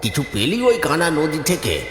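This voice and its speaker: A man voicing a gruff, brusque older village uncle who scolds in drawn-out colloquial talk for a cartoon. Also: fairly high pitch, very animated, fairly bright tone, slight background noise. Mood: gruff, reassuring. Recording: echoey reverberant studio with music behind.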